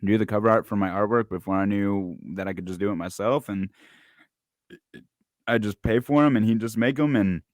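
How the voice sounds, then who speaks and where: A man's voice: fairly low pitch, fairly quick, no echo, clean studio sound. A man in his twenties co-hosting a podcast, chatting casually and laughing often, swearing freely. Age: 20s. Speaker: podcast co-host, musician. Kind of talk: casual chat.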